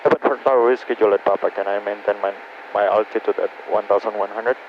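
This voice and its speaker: A man's voice: lowish voice, fairly even intonation, fast. A man piloting a light aircraft, making procedural radio calls and readbacks to the tower. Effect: aviation radio. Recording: very noisy, muffled cockpit radio.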